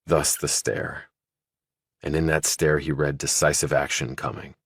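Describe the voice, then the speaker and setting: A man's voice: very deep voice, little pitch movement, a little slow, breathy edge, no room sound, studio quality. A man reading scripted thriller narration as an audiobook narrator.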